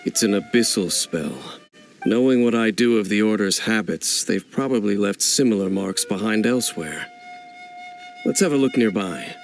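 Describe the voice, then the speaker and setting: An actor playing a solemn, enigmatic wandering swordsman in a fantasy game, speaking in a grave, measured, cryptic way. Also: fairly low pitch, soft background music, lightly acted, rough recording. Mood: serious, matter-of-fact. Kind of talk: scripted fantasy game voice acting.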